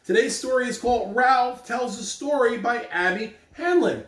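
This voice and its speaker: A woman speaking to young children in an encouraging, deliberate way, as in school announcements and a read-aloud. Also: on the high side. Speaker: school morning announcer and story reader. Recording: echoey room, distant mic, faint noise.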